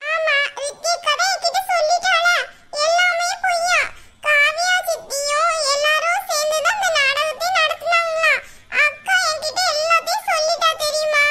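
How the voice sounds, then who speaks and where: A woman plays an eager, chatty little girl in a family drama. The voice sits very high and sounds bright and sharp. She talks in a tattling, breathless way. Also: steady tone, normal speed.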